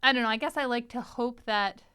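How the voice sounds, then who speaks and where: A woman's voice: on the high side, fairly quick, sharp tone, clear, resonant tone. A woman chatting casually on a group podcast, playful and emphatic, acting out bits of dialogue as she goes. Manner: playful, emphatic, acts out quotes. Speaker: podcast panelist discussing an audio drama. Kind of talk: casual animated group chat.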